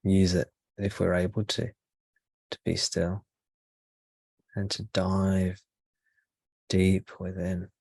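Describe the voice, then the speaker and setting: A man speaking as a spiritual teacher leading a guided meditation, in slow, measured short phrases with pauses between them. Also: low voice, monotone, creaky, a little mellow, husky, no echo.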